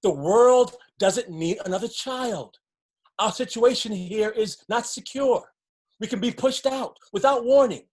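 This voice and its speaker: A woman's voice: on the high side, unhurried, darkish tone, husky. A woman playing a wary, resigned survivor in a stage play, with a pragmatic, cautioning way of talking. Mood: firm, defensive. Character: wary, resigned flood survivor clinging to a cramped shelter.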